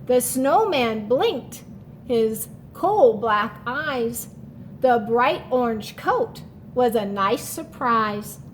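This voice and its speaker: A woman teacher giving a children's read-aloud and lesson, expressive and instructional, often asking questions. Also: on the high side, drawn-out words, slight background noise. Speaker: teacher and storyteller.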